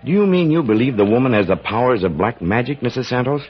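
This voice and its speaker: An actor playing a middle-aged doctor who leads an expedition, formal and decisive in old-time radio style. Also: male voice, mid-range voice, lively intonation, quick pace, faint music behind, lightly acted. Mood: questioning. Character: resolute doctor leading an expedition, a grown daughter's father. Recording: dull vintage radio recording.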